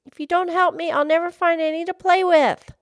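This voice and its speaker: A woman's voice: very high-pitched, fairly even intonation, a little fast, no echo, pitched above the performer's own voice. A woman voicing a polite, determined little girl in a folk tale. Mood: pleading.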